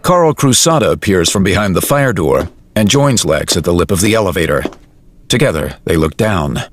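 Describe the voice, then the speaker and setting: Male narrator for audio drama: lowish register, highly animated storytelling, sound effects faintly behind. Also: moderate pace, a little hiss or hum. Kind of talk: dramatic scripted narration, present tense.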